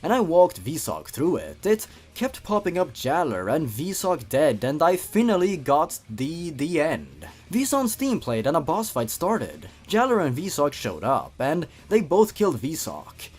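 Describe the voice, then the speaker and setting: A man's voice: fairly high pitch, wildly swooping pitch, unhurried, few pauses, slightly rough. A man reads a story and comments on it like a scripted reviewer, in a playful, theatrical, sarcastic way. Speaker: host reading and reviewing a horror story.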